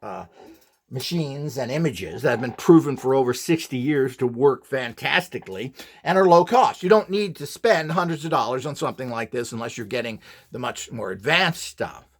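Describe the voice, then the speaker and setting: A man's voice: medium pitch, animated, normal speed, slightly rough. A man giving an informal explanatory tutorial, rambling and opinionated as he talks. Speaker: instructor and device builder.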